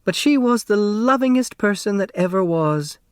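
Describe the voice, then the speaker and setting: A man voicing a gentle, wistful, chatty older motherly seamstress in an audiobook. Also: sits high, expressive, moderate pace, clear, resonant tone, no echo, studio quality, pitched above the performer's own voice. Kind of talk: audiobook character dialogue.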